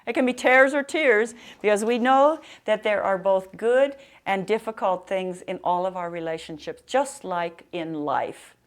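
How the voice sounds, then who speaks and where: An older American woman with a loud, projecting voice, giving an author's talk and reading in an emphatic storytelling manner. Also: medium pitch, unhurried, hardly any pauses. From Nebraska, United States. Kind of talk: public talk with anecdotes and book reading. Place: live talk to a library audience in a room.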